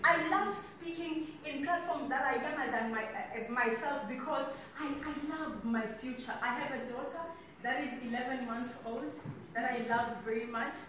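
High-voiced young South African woman in an echoey hall. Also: moderate pace, a bit bright. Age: 20s. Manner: emphatic, preacherly repetition; walks about the floor, gesturing as she speaks. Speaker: motivational speaker. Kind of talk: keynote speech to a conference room. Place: large conference hall, indoors. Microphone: handheld mic, heard from a distant camera. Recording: echoey hall audio from far off with background noise.

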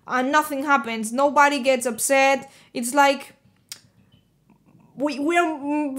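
A woman in her twenties from Spain with a Spanish accent, talking casually to camera in a living room, chatty and animated, with plenty of fillers and restarts. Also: high voice. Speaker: vlogger.

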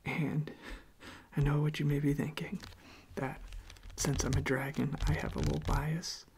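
A young man speaking in a hushed, breathy, mostly whispered ASMR style, unhurried and halting, close to the microphone. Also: on the high side, flat delivery. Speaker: ASMR video creator. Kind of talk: soft-spoken ASMR.

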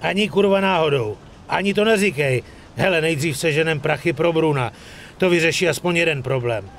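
A man's voice: on the high side, fairly bright tone, slight background noise. An actor playing a hot-headed, foul-mouthed mobster in his thirties, with coarse, blunt delivery in a dubbed crime game. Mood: tense, weary. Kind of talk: dramatic dubbed video game acting.

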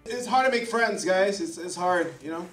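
A man from Taiwan, a native Mandarin speaker, doing a stand-up set on a club stage into a handheld mic, delivering punchlines in an animated way. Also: high voice, normal speed, over music. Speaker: stand-up comedian. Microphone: handheld mic, far camera. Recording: roomy club sound from far off. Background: audience laughter.

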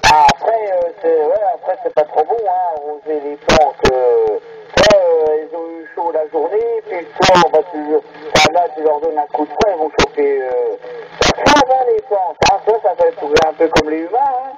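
A man's voice: a little high, normal speed, a loud crowd. A man bantering casually over FM radio, informal and rambling in a joking way. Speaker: amateur radio operator. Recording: muffled, very noisy radio transmission.

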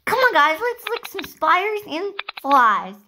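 A woman voicing a tiny, excitable, hungry frog in improvised toy play, squeaky and playful with ribbits. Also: a little slow, background noise, heavily acted. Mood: eager, playful.

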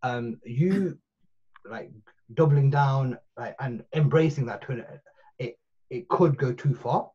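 Man, forties, rambling with restarts, husky voice, muffled call audio. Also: medium pitch, slow, darkish tone. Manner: rambling, full of restarts and fillers. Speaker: podcast guest. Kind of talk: casual podcast chat. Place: small room at home. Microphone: video call.

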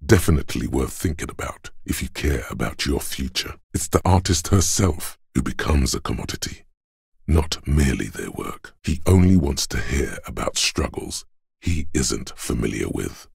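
An actor playing a calm, analytical inner voice of logic in a video game, gravelly and husky, speaking in a dry, measured, knowing way. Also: male voice, rumbling low, no echo, exaggerated voice. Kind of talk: video game voice-over narration.

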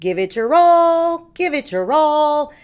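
A small, upbeat storybook cat hero, voiced by a woman reading aloud. The voice is high and unhurried. It exclaims and chants with eager cheer. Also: sing-song, darkish tone, exaggerated voice. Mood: cheerful, determined.

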